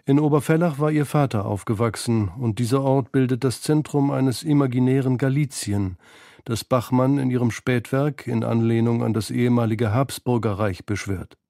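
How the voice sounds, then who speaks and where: A man narrating a scripted radio documentary in a measured, analytical manner, close to a studio microphone. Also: mid-range voice, warm tone, dry recording. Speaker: feature narrator.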